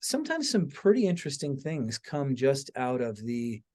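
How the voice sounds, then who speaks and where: Casual male podcast host, mid-pitched voice. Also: sing-song, normal speed, darkish tone. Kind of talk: casual conversational discussion.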